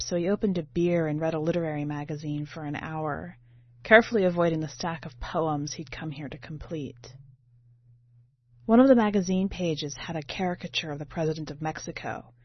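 Female short-story narrator, lower register, roomy and slightly dull podcast sound. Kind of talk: literary audiobook-style narration. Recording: muffled, roomy home recording.

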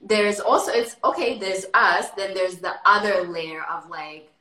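A woman interviewer chatting in an informal, expressive way. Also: mid-range voice, measured pace. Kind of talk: casual chat. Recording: roomy, echoey sound.

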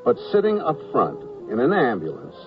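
A man's voice: mid-range voice, wildly swooping pitch, moderate pace, a little hiss or hum. An actor playing a reasonable, exasperated male war correspondent in a radio comedy drama, wry and conversational. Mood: wry narration. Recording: dull radio recording, slightly distant.

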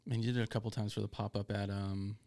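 A man co-hosting a casual barbecue podcast, telling easygoing stories in a relaxed way. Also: lowish voice, rapid-fire. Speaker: podcast co-host.

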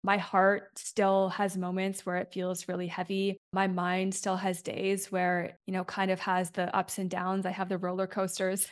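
Slightly raspy woman hosting a podcast. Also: mid-range voice, completely flat delivery, measured pace, hardly any pauses. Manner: candid, reflective, keeps checking in with "right?". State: slightly raspy from a chest infection and head cold. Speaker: podcast host and coach. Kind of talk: unscripted solo podcast monologue.

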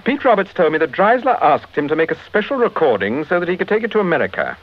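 Casting: urbane English gentleman detective, RP, very animated delivery, dull vintage radio sound. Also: a little high, quick pace. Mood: polite, probing. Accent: English Received Pronunciation. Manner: polite, urbane. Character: suave, courteous English gentleman amateur sleuth. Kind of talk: British radio drama acting.

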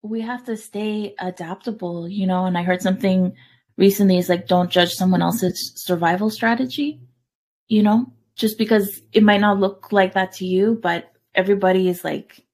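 A woman's voice: medium pitch, moderate pace. A woman, chatting casually and telling stories with quoted dialogue and occasional Spanish. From Canada, born in El Salvador. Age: 40s. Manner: animated retelling with quoted dialogue. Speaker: podcast co-host. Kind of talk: casual conversational storytelling with some Spanish mixed in.

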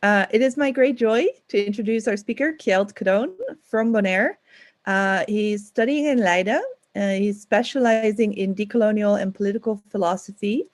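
A woman moderating an online talk over a video call, speaking with frequent pauses and fillers. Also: mid-range voice, dry recording, clean studio sound. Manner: hesitant, many ums and uhs.

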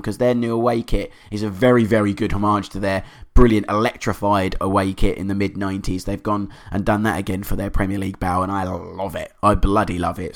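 A man hosting a podcast, hoarse from a cold, chatting in an enthusiastic, rambling way. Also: lowish voice. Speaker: podcast host. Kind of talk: casual podcast monologue.

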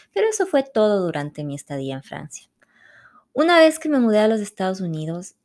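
A middle-aged woman tells her own story in a measured, unhurried way, speaking. Her voice sits a little low for a woman. She reads the script calmly as the host of her own podcast. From Ecuador. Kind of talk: scripted personal narration.

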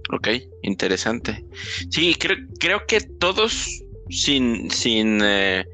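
A man hosting a casual podcast conversation, talking in a halting way with many restarts and fillers. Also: mid-range voice, very animated, bright, forceful tone, faint music behind. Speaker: podcast host.

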